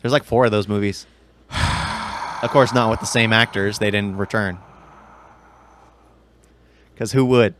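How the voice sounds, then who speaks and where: A man's voice: lowish voice, fairly even intonation, a little slow, long pauses, noisy background. A man chatting casually as a podcast co-host about game movies, explaining things in a rambling way.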